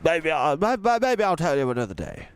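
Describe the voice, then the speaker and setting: A man's voice: on the high side, big pitch swings, fairly quick, smooth, no echo, pitched above the performer's own voice. A man voicing a kindly, eccentric old tramp with courteous, old-fashioned manners in a children's storybook. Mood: hesitant. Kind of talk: children's storybook character reading.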